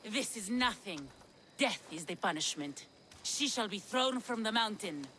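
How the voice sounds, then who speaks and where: Stern commanding priestess, bright voice, cold authority. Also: female voice, mid-range voice, expressive, measured pace, a little hiss or hum. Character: stern priestess presiding over the games.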